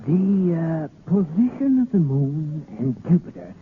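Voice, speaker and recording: An actor playing a cautious, deferential court astrologer in 1930s radio drama, hesitant, formal and portentous. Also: male voice, fairly high pitch, animated, a little slow. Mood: solemn. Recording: tinny, faintly noisy 1930s radio.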